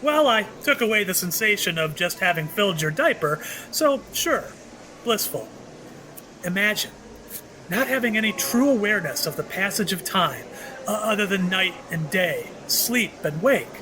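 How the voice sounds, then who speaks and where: A mysterious, ageless shopkeeper played by a man, with a high voice that moves about in animated swings. He is slyly wry and cryptic, teasing out his hints as in a radio play, over a clearly noisy recording. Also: moderate pace. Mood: amused, explaining. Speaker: actor in an audio play. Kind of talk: audio drama acting.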